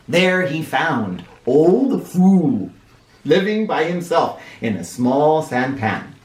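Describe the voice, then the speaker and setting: A man reading a picture book aloud to children in an expressive teacher's manner, pausing to explain. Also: a little high. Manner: animated, with explanatory asides. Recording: roomy sound, distant microphone.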